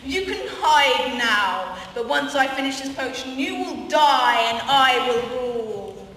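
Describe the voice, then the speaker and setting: A woman's voice: high-pitched, expressive, slow, a bit bright, exaggerated voice. A young woman playing a theatrical, scornful evil twin witch schoolgirl in a comic amateur radio play. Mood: menacing, gloating. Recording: echoey hall from far off, faint noise.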